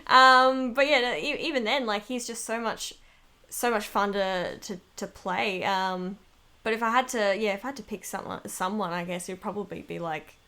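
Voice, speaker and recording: A woman's voice: mid-range voice, normal speed, pushed and bright. Informal, chatty female voice actor. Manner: giggly, informal, rambling. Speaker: voice actor being interviewed. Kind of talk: casual interview chat.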